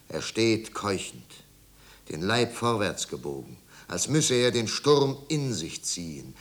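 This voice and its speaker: A man narrating a 1950s German radio drama as a solemn storyteller, with grave, measured and deliberate phrasing. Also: sits low, a bit bright. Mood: solemn, intense.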